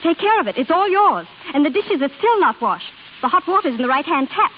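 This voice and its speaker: A woman's voice: high-pitched, sing-song, fairly quick, lo-fi sound. A woman playing a hurt, resolute young wife in an earnest, emphatic 1930s radio drama style, on a hissy old recording. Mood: indignant, resolute.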